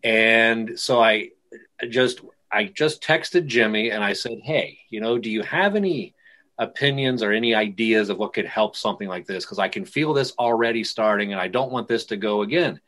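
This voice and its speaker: A man's voice: lowish voice, expressive, moderate pace, a bit bright. A middle-aged man, a pastor and guitarist, rambling through stories in a casual interview with many restarts.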